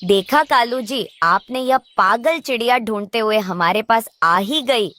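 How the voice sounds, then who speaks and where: A woman's voice: fairly high pitch, measured pace, fairly bright tone. A woman voicing a wicked, lazy female crow who nags and schemes in a children's cartoon. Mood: gleeful scheming. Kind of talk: Hindi children's cartoon character voice.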